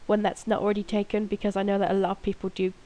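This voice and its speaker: Young woman with a cold, stuffy nose, rambling alone at home over gameplay, soft dark-ish voice. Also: medium pitch, little pitch movement, rapid-fire. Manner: rambling, self-mocking, giggly. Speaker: gaming video creator. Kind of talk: casual gameplay commentary. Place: room at home, alone at night.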